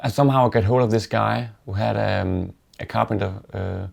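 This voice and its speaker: A man with a Danish accent, a native Danish speaker, casually and reflectively telling stories in an interview with halting pauses. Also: fairly low pitch, creaky, a little mellow. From Denmark.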